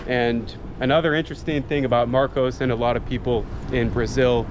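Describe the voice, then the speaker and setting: A man hosting a travel vlog, with an ordinary middle-pitched voice. He talks casually and loosely, full of fillers, as he questions his guide. He records outdoors in the city, with plain street noise behind him. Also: steady tone, a little fast, lo-fi sound. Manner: casual, filler-heavy, interviewing. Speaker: travel vlogger.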